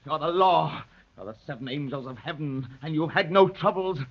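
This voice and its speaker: Husky, bitter anarchist poet. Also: male voice, on the high side, normal speed. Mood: accusing, anguished. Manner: declamatory, scornful. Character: bitter red-headed anarchist poet, defiant and accusing. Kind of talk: 1930s radio drama acting. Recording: muffled, lo-fi 1930s radio recording.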